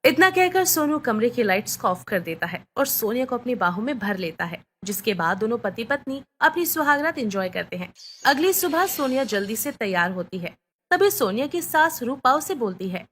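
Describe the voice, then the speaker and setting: High-voiced woman narrating, noisy background. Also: sing-song, moderate pace, fairly bright tone. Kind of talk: scripted bedtime story narration.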